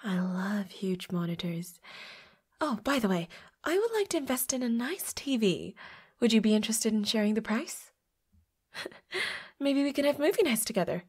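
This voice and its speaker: A woman's voice: a little high, very animated, normal speed, pauses often, a little mellow. A woman voicing a flirty, playfully predatory vampire roommate in an intimate audio roleplay, with a coy, teasing manner. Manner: coy, giggly, teasing, drops into breathy whispers.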